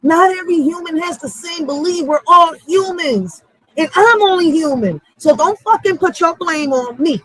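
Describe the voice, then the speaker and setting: A woman's voice: very high voice, a bit bright, no echo, low-quality recording. A woman giving a heated, profane casual monologue, blunt and emphatic, using street slang and repeating phrases for stress. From Puerto Rico or Puerto Rican background. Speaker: channel host.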